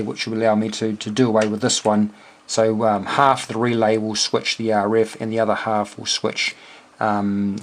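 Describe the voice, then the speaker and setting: A man with a New Zealand accent talking casually and unscripted in a halting, rambling way, as a hobbyist thinking aloud. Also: lowish voice. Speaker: amateur radio operator and homebrew electronics hobbyist. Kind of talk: casual unscripted technical walkthrough.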